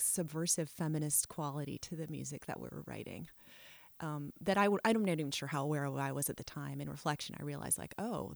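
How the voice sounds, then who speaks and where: A middle-aged woman with a low voice looks back on her past in an interview. She is frank and reflective, often trailing off and restarting her sentences. The recording is close and dry. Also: vocal fry, no echo. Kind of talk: candid personal storytelling.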